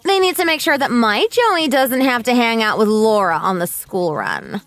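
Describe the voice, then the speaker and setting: A woman's voice: on the high side, sing-song, moderate pace, a bit bright, no room sound, soft background music. A woman voicing a snobbish, bullying rich mother in a radio drama, haughty, sneering and exaggerated. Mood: disdainful.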